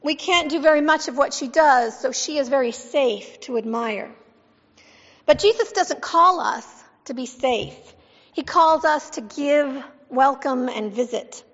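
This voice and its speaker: A woman pastor reading scripture and giving a sermon, measured and emphatic, asking the congregation questions. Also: sits high, fairly bright tone. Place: church sanctuary. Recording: dull sound with long church reverb.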